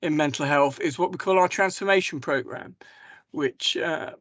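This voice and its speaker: Hoarse man on a video call. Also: on the high side, normal speed, a bit bright, no echo. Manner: hesitant, many ums and restarts. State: hoarse from a cough. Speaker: mental health service manager. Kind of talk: presenting slides in a webinar.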